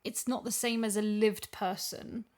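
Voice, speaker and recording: A woman's voice: mid-range voice, level tone, unhurried, a little mellow. A woman chatting casually as a voice actor about her work, reflective and working through her ideas as she speaks. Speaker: voice actor discussing her character. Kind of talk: casual discussion.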